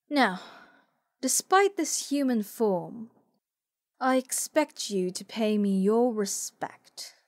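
A woman voicing a livid, haughty tsundere demon in a scripted roleplay audio, condescending and scornful, with theatrical sighs. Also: on the high side. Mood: bossy, scornful.

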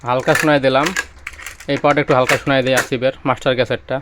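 A man's voice: medium pitch, little pitch movement, racing, over loud music. A man with a Bangladeshi accent casually showing goods for sale, with repetitive, reassuring sales patter. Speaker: second-hand audio equipment seller. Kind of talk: casual product showcase. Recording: clear background noise with clicks.

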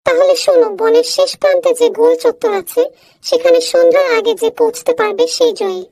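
A woman's voice: very high voice, a little fast, creaky, a little mellow, dry recording, poor audio. A woman voicing a small animal referee who gives orderly instructions in a children's cartoon. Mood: deciding. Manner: instructing, announcing.

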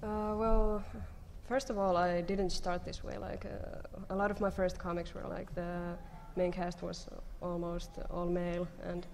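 A woman's voice: lowish voice, level tone, a little slow, vocal fry, darkish tone. A young woman giving a casual, hesitant answer as a panelist at a conference. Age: young adult. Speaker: panelist, comic creator. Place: conference room stage. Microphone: table microphone on stand. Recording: echoey hall PA, background noise.

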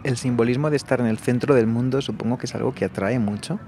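A young man from Spain with a Barcelona Spanish accent, telling his travel diary in a calm, thoughtful and personal way. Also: mid-range voice, fast talker, a little hiss or hum. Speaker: travel vlogger. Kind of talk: reflective travel diary narration. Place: small bedroom in a hostel.

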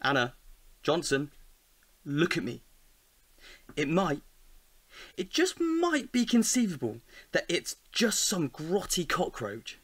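A man's voice: a little high, huge pitch swings, slow, pauses often, fairly bright tone, no room sound, exaggerated voice. A man playing an exasperated, scornful, sarcastic housemate in an audio drama. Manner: sarcastic, incredulous. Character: exasperated, scornful, sweary young male housemate.

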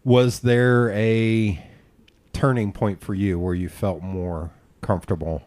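Relaxed podcast host, lowish voice. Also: male voice, slow. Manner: relaxed, laughs easily, asks rambling questions. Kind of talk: casual interview chat.

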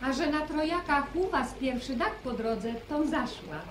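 A blunt young countrywoman tells her story in a brisk, indignant way. Her voice sits high and leaps around a lot. The old radio-play recording is roomy, with faint hiss under it. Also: animated. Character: young village woman, plain-spoken and unafraid. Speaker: young woman caught in a storm. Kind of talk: 1970s radio drama acting.

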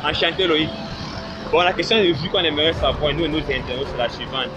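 A man's voice: on the high side, brisk, dull audio. A man with a Cameroonian French accent running a street interview, pressing and repeating his question. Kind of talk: vox pop street interview. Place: roadside in a busy city street. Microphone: handheld phone held out to speakers. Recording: loud background music and traffic.

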